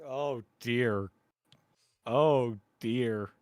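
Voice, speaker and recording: A man's voice: medium pitch, very slow, no echo, clean studio sound. A man talking casually as a player in a tabletop roleplaying game.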